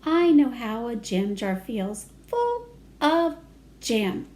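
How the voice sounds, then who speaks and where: Woman voicing earnest little girl, high and singsong. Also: very animated, takes it slowly, mellow. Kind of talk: children's picture-book character reading.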